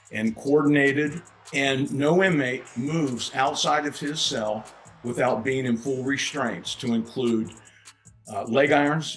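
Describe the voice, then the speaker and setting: Man, interview commentary, measured listing tone, faint music under. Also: mid-range voice, a bit bright. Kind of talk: explanatory interview talk.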